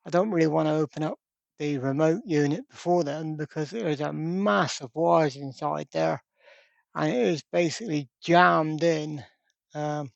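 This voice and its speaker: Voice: mid-range voice, normal speed, gravelly, no room sound, muffled recording. A presenter giving a casual workbench repair demonstration, thinking aloud step by step in an informal way. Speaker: electronics repair hobbyist presenter.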